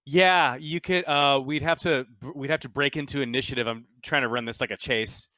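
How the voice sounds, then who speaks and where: A man's voice: medium pitch, steady tone, normal speed, sharp tone, no room sound. A man running a tabletop roleplaying game as game master, explaining rules and narrating scenes conversationally.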